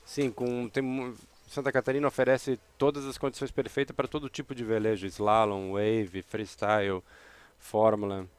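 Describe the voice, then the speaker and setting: A man with a Brazilian Portuguese accent doing excited play-by-play commentary that rises with the race action. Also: fairly low pitch, fairly quick, clear, resonant tone, dry recording. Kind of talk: live sports commentary.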